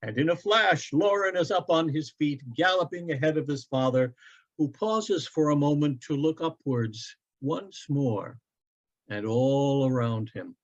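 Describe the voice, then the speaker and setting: A man narrating a literary story aloud in a deliberate, tender manner, as a storyteller reading from a written piece. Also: a little high. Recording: dull, lo-fi online event audio.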